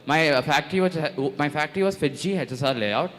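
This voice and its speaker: A man's voice: fairly high pitch, fairly bright tone, long reverb tail. Nervous young man from Bangalore. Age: young adult. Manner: nervous, repeating lines, halting. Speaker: volunteer fresher. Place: stage in a large hall.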